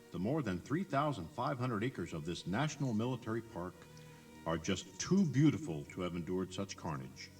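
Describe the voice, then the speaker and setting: An American man narrating a history tour in a conversational, emphatic way, putting rhetorical questions straight to the listener. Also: fairly low pitch. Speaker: audio tour guide narrator. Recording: 1983 cassette tour tape.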